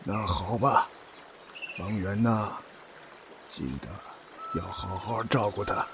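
An actor playing a slow, measured old male clan elder in an audio drama. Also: fairly low pitch, fairly even intonation, background noise. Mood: grave. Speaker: great elder.

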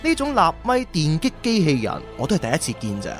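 Chatty young sidekick, high voice, swooping intonation, excitable, radio drama. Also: male voice, fast talker, faint music behind. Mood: amazed, sheepish. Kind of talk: Cantonese radio drama acting.

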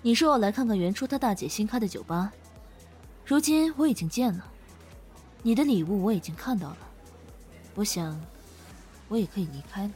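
A woman playing a cool, composed and guarded woman in a Chinese audio drama, speaking with measured restraint. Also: medium pitch, expressive, a little mellow, natural voice. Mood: cool, firm. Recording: music behind the voice.

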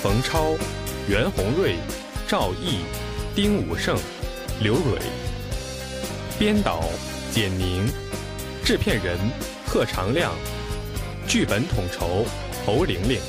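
A man's voice: medium pitch, big pitch swings, takes it slowly, long pauses. A man reading scripted credits as the announcer of a radio drama. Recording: voice under loud guitar music.

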